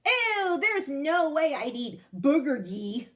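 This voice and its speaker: A woman's voice: sits high, sing-song, takes it slowly, fairly bright tone, pitched above the performer's own voice, exaggerated voice. A woman voicing a chatty, outspoken schoolgirl who exclaims her lines in a storybook read-aloud. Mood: disgusted.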